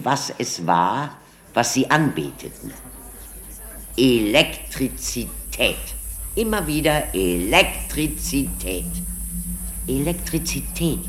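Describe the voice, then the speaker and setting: An actor in a German radio play as a theatrical doctor who lectures an audience like a showman, declamatory and deliberate. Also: medium pitch.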